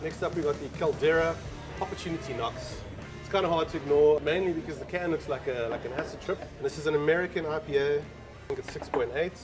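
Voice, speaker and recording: A man's voice: on the high side, fast talker. An upbeat man with a slightly raised voice introducing beers as co-host. He laughs easily, and music plays behind him. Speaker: co-host of a beer show. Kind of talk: casual beer tasting chat.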